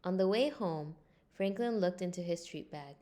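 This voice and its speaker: A woman reading a scripted children's storybook aloud in an expressive way. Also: on the low side, moderate pace. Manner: expressive, acts out character lines. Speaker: storybook reader.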